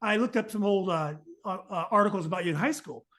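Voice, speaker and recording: A man's voice: high voice, fast talker, a bit bright. A man hosting a podcast interview, asking long, rambling questions full of fillers and restarts. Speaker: podcast host.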